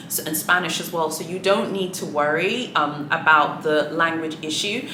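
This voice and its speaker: Chatty woman, Swahili native, echoey room. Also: low-pitched. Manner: chatty, many fillers, sassy asides. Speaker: luxury shopping vlogger. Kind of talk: casual chat to camera. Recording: echoey room with clear background noise.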